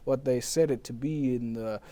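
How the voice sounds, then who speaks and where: A man's voice: medium pitch, moderate pace, dark tone, close to an everyday voice. A man playing a genteel, morose dead writer back from the afterlife, speaking hesitantly and repeating his words in an improvised comedy interview. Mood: genteel, musing. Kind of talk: improvised comic talk-show interview in character.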